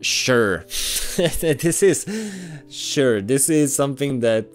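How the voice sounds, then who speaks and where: A man's voice: medium pitch, animated, slow, soft background music. A man from the Republic, chatting casually as he answers viewer comments and laughs along. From Czech Republic. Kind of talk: casual Q&A chat.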